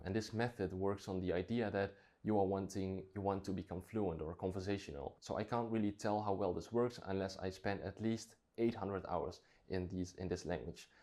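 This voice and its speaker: Low, mellow-voiced Dutch man vlogging. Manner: reflective, thinking aloud with restarts. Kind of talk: casual talk to camera.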